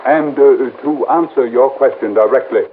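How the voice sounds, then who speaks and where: A man's voice: mid-range voice, moderate pace, natural voice. Man as fussy insurance executive, tinny old radio. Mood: defensive, wordy. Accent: American. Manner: hesitant, wordy, formal. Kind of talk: 1950s radio drama acting. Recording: thin, faintly noisy old radio recording.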